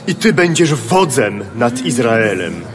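Solemn elder in reverberant hall. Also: male voice, mid-range voice, big pitch swings, slow pace, crowd noise. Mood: solemn homage. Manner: declamatory. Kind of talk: audio Bible radio drama acting.

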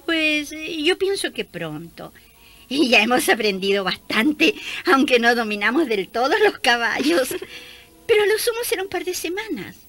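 A woman playing a gentle, cheerful young missionary nun in a radio drama, affectionate and giggly. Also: high voice, very animated, measured pace, faint music behind, heavily acted. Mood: kindly.

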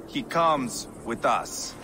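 An actor playing a brash, mocking Viking warrior in a video game, taunting and boisterous. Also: male voice, medium pitch, drawn-out words, music in the background.

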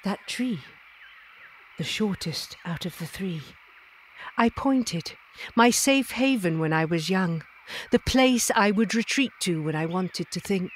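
A woman playing a tender, dignified non-human lady who narrates her memories in a measured, literary way in an audio drama. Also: on the low side, expressive, slight background noise. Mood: wistful, tender.

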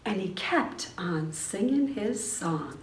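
A middle-aged woman reading a picture book aloud to preschoolers in an expressive, sing-song, exclamatory teacher's manner. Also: mid-range voice, takes it slowly, slight background noise. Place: small indoor preschool classroom. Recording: roomy indoor echo.

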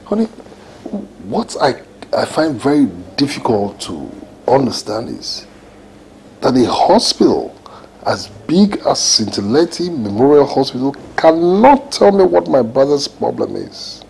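A man's voice: mid-range voice, big pitch swings, gravelly, darkish tone, a little hiss or hum, low-quality recording. A Nigerian man acting an agitated husband in a domestic film drama, emphatic and repeating himself. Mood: frustrated. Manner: emphatic, repetitive, deliberate. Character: agitated, dramatic husband worried for his brother.

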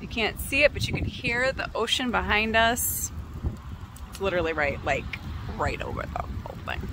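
A woman in her forties talking into a phone held at arm's length outdoors by the ocean, bubbly and excited as she laughs often. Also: mid-range voice, normal speed. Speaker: travel vlogger. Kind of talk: casual selfie vlog. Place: outdoors by the ocean, windy beach. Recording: loud wind and surf noise on a phone mic.

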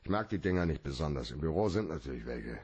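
An actor playing a cool, sardonic private detective in a German radio play, husky, laconic and dry. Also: male voice, rumbling low, sing-song, fast talker, a little mellow, exaggerated voice. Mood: sardonic, evasive. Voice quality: husky, rough.

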